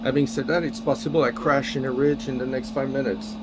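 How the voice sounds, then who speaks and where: Man, confident sim pilot, halting restarts, mid pitch, loud line noise. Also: little pitch movement. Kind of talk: casual chat over voice comms.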